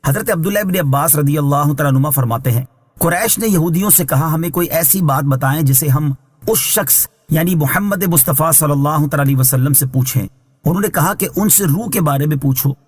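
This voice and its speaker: Male religious scholar for scripted exegesis narration. Higher than average pitch, rough-edged tone, formal teaching delivery. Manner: measured, formal, instructive. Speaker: Islamic scholar reading translation and commentary.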